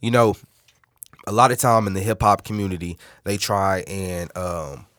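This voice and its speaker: A man's voice: low-pitched, moderate pace, fairly bright tone, no room sound, studio quality. A man interviewing a rapper, asking laid-back, teasing questions in slang. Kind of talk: casual interview chat.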